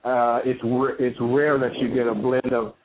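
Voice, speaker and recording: A man hosting an interview show, talking haltingly with many fillers and compliments for his guest. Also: medium pitch, little pitch movement. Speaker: show host. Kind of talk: interview on a call-in style show. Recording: tinny phone-line sound with faint noise.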